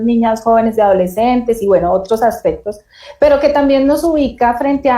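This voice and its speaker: A woman's voice: a little high, dark tone, echoey room. A woman moderating a panel, thanking speakers and introducing the next one in a friendly, fluent way.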